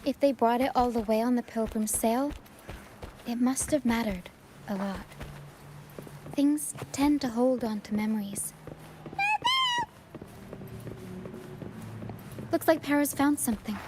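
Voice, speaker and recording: An actress playing a gentle, dutiful young female first mate in a video game, earnest and reassuring. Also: fairly high pitch, normal speed, a little hiss or hum, natural voice. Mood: solemn resolve.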